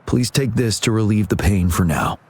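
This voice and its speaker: A man playing a calm, attentive military commander with a formal, measured manner in a video game. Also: fairly low pitch, husky. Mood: calm, analytical.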